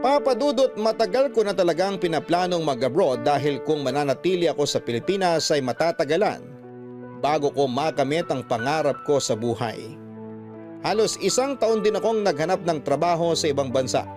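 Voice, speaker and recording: A man's voice: fairly high pitch, lively intonation, normal speed, natural voice. A man narrating a personal letter as an earnest storyteller in a scripted radio drama. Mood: reflective. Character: earnest letter writer recounting their own life story. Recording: music playing behind narration.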